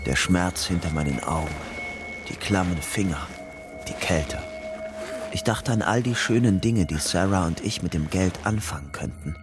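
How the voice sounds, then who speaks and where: Troubled young fugitive, low voice, brooding crime radio drama narrator, intimate delivery, music underneath. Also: male voice. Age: young adult. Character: young man on the run, troubled, haunted narrator.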